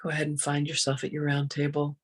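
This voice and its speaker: A meditation guide with a soft, breathy voice, speaking gently and soothingly with long, unhurried pauses. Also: mid-range voice, completely flat delivery.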